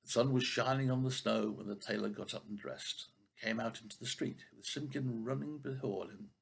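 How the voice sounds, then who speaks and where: An older man reading a children's story expressively, with a husky voice and the odd stumble over a word. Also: mid-range voice, normal speed, slight background noise. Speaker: storybook reader.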